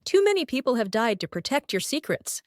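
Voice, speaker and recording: Audio drama heroine, played by a woman: young, defiant, lively pitch, dry recording. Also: brisk, no room sound. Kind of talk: audio drama thriller dialogue.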